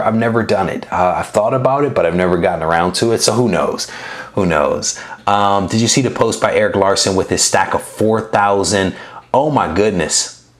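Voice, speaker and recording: Male streamer in his forties, deep voice, easygoing and rambling, reads chat aloud. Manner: relaxed, rambling, many fillers and restarts. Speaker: comic collecting channel host. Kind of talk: casual livestream chat reading viewer comments.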